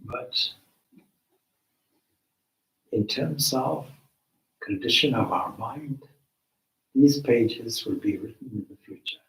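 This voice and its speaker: A man leading a guided meditation as a teacher, with measured, deliberate phrasing and long pauses. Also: mid-range voice, animated, a bit bright. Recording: roomy, echoing space.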